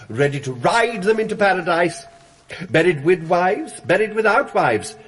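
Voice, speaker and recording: High-voiced, florid, bookish gravedigger. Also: male voice. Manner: florid, rambling, self-amused. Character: bookish, loquacious comic male gravedigger. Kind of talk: radio drama acting.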